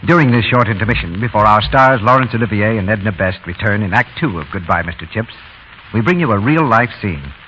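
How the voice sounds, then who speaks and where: Lowish voiced old radio announcer guy doing ad narration, muffled, bit of hiss. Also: lively intonation, a little fast, sharp tone. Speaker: commercial announcer. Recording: muffled, slightly noisy 1930s radio.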